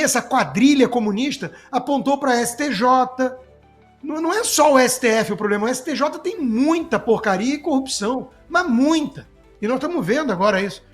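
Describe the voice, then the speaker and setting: A Brazilian man with a very high-pitched voice delivers political commentary off the cuff. He is emphatic, colloquial and sarcastic, and music plays behind him.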